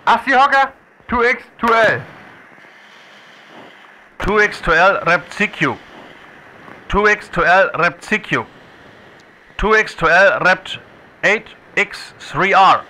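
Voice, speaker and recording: An actor playing an urgent radio operator with a North Frisian accent, sending calls through a radio filter in a radio drama. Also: male voice, sits high, expressive, slow, long pauses, sharp tone, heavily acted, rough recording. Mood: tense warning.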